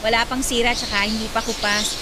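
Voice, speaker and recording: An actress playing a young college-age daughter in a radio drama, polite but quick to defend herself. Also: female voice, on the high side, flat delivery, heavy background noise, close to an everyday voice. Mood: sheepish, polite. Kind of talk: Tagalog radio drama acting.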